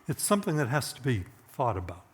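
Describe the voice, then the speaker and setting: A middle-aged man with a slightly hoarse voice, presenting a technical conference talk in an informal, conversational way, thinking aloud as he goes. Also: medium pitch, big pitch swings, fast talker, slight background noise. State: voice getting hoarse.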